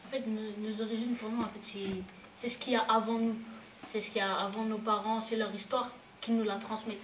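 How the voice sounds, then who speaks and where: A woman's voice: fairly high pitch, level tone, brisk, a little hiss or hum. A teenage girl from France in a casual classroom debate, hesitant with many fillers and restarts, heard in an echoey room from a distance. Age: teens. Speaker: student in a group discussion.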